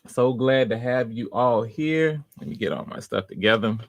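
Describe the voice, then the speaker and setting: A man hosting a live online audition stream in casual, halting, unscripted chat, welcoming and encouraging to his viewers. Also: medium pitch, no room sound. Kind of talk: casual livestream chat, greeting viewers by name.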